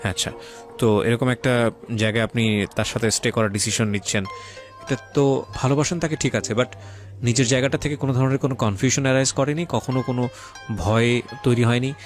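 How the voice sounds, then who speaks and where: দ্রুত কথা বলা বাংলাদেশি উচ্চারণের পুরুষ উপস্থাপক. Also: male voice, mid-range voice, fairly even intonation, brisk. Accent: Bangladeshi. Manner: mixes English words into speech. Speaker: radio show host interviewing a guest. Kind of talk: probing conversational interview. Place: radio studio. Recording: faint music behind. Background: faint music.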